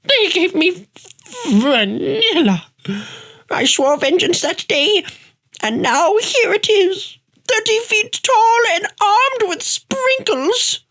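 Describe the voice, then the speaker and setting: A woman's voice: extremely high pitch, expressive, takes it slowly, fairly bright tone, heavily acted. A woman playing an eccentric, unstoppable grandmother in her eighties in a radio play, with theatrical, grandiose delivery. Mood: vengeful. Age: 80s. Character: unstoppable, eccentric 81-year-old grandmother, defiant adventurer. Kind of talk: radio play character acting.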